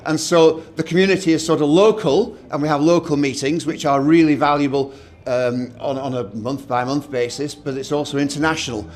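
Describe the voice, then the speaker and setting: A middle-aged man with a loud voice, co-hosting an event in a hotel meeting room, telling stories with restarts and dry jokes. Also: a little high, fairly even intonation, a little fast, hardly any pauses. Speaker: co-host.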